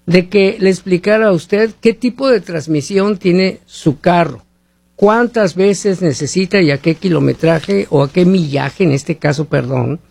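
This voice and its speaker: A man's voice: high-pitched, unhurried, creaky, a little mellow, dry recording. A man from Mexico hosting talk radio, rambling through commentary and reading sponsor ads, often asking listeners rhetorical questions. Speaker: radio host. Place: living in the United States.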